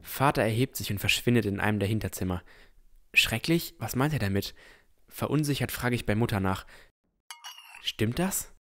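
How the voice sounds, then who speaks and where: Man acting a low-voiced, pensive village boy. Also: moderate pace, long pauses, a bit bright. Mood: earnest, curious. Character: earnest, pensive sixteen-year-old village boy narrating his life. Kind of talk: first-person scripted narration, fantasy audio drama.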